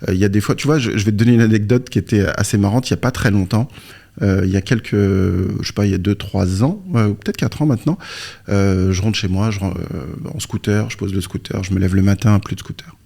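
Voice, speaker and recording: A man's voice: lowish voice, fast talker, vocal fry, dark tone. A man from France, born in Morocco, speaking as an interview guest and coach, explaining informally through anecdotes and rhetorical questions. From born in Morocco, moved to France aged three or four. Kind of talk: conversational explanation with personal anecdotes.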